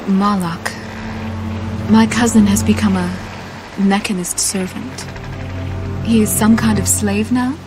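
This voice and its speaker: An actress playing a resolute, bitter young seafaring woman bent on revenge, narrating a game briefing in a brooding, deliberate way. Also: mid-range voice, fairly even intonation, natural voice. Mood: shocked. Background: music.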